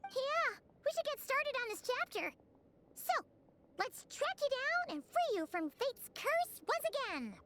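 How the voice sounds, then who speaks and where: Squeaky, tiny fairy sidekick. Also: female voice, unhurried, slight background noise, heavily acted. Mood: curious, chatty. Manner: squeaky, expressive, refers to self in third person. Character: small childlike female fairy companion, chirpy, chatty, protective. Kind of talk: English game voice acting.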